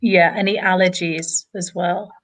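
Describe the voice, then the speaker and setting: A woman's voice: fairly low pitch, fairly even intonation, unhurried. A woman with a British accent, talking conversationally as an English teacher co-hosting an online lesson.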